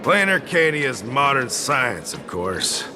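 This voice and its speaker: An officious, earnest security officer in a game. His mid-pitched delivery is lively and explanatory, slightly fussy, with music behind. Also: slow. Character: chatty, officious male security officer explaining local customs. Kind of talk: video game character dialogue.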